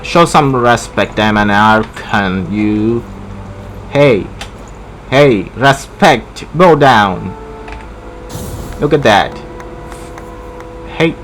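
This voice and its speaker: A man giving casual, halting gameplay commentary, repeating short phrases. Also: medium pitch, a bit bright, slight background noise, rough recording. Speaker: gamer.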